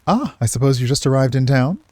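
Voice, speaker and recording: A man's voice: mid-range voice, lively intonation, fast talker, a little mellow, no echo, pitched above the performer's own voice, natural voice. A man voicing an amused, evasive male dancer who is teasing and secretive, in a visual novel.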